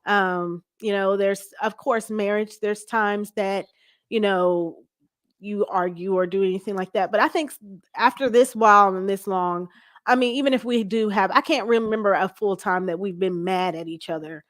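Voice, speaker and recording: A woman's voice: medium pitch, moderate pace, no room sound, studio quality. A woman in her forties speaking as an interview guest, casual and candid in conversation. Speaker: talk show guest.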